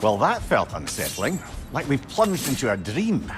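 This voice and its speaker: A man's voice: medium pitch, huge pitch swings, moderate pace. A man playing a wry, witty, talkative older companion in a video game, with a sardonic, frank manner. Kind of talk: dramatic video game acting. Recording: music over the voice.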